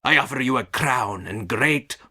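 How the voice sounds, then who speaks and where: A man's voice: mid-range voice, animated, normal speed, sharp tone. An actor playing an imperious, menacing king of the underworld in a game, with commanding, grandiose, theatrical delivery. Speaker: actor performing game voice lines. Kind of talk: theatrical mythic stage drama acting.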